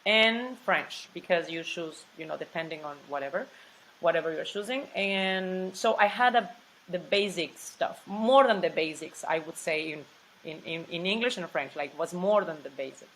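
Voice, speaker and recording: A woman speaking English with a Mexican accent, her voice a little lower than most. She talks freely and with energy in a casual interview and circles back over her own words. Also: normal speed, a little hiss or hum, rough recording. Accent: Mexican Spanish. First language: Spanish. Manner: animated and talkative, restarts and repeats words, fillers like "like". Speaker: actress, interview guest.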